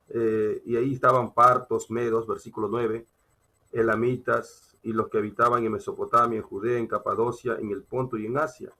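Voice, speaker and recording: A Peruvian man preaches in a husky, creaky voice that sits a touch low. He delivers his sermon emphatically, moving in halting phrases between scripture reading and testimony, often repeating himself. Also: bright, forceful tone. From Peru.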